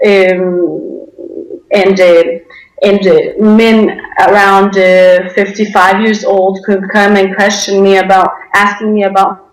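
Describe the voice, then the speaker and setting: A woman's voice: medium pitch, little pitch movement, slow, echoey room, poor audio. A young woman from Denmark giving a personal testimony in an interview, hesitant with many fillers and restarts. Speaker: interview guest.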